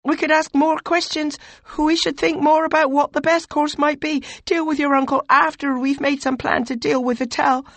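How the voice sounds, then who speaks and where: A woman's voice: high voice, flat delivery, moderate pace, hardly any pauses, fairly bright tone, no echo, pitched above the performer's own voice. A woman voicing an anxious young aide who urgently begs his lord. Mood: desperate. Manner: urgent, pleading.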